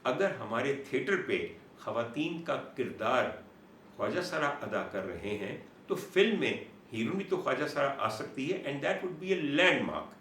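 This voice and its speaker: A Pakistani-accented man presenting an explanatory monologue to viewers as host, in a deliberate, dramatic manner with repetition for emphasis. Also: medium pitch, sing-song, fairly bright tone. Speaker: host and doctor presenting a talk show. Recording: roomy, distant mic with faint noise.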